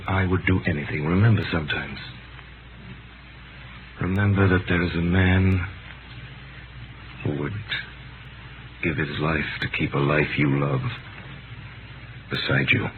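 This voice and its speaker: Ruined romantic gentleman, very low voice, solemn and halting, vintage radio drama with clear background noise. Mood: tender devotion. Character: Sydney Carton, dissolute despairing man, tenderly self-abasing. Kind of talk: 1930s radio drama acting. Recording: noisy tinny 1930s radio broadcast.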